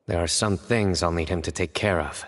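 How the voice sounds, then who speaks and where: A man doing a low-voiced stern young judge. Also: a little hiss or hum, close to an everyday voice. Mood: deductive. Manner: measured, deductive, calm. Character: stern young male desert judge, sharp investigator.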